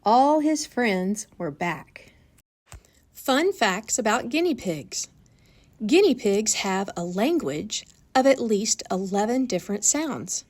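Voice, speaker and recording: Female storytime reader: animated intonation, careful phrasing, narrating a picture book for kids. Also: medium pitch, slow.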